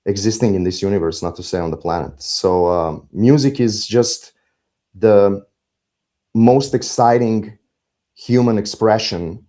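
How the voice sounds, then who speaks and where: A middle-aged man with a Serbian accent, talking reflectively as an interview guest, halting and philosophical as he searches for words. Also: low-pitched, warm tone. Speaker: podcast guest, musician.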